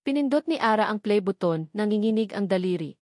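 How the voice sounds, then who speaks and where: Woman doing solemn dramatic story narration, kinda suspenseful, medium pitch, dry sound no room. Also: animated, a little fast, darkish tone, no echo.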